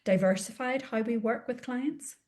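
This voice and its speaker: A woman's voice: on the high side, normal speed, darkish tone. Encouraging woman podcast host. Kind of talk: conversational podcast interview.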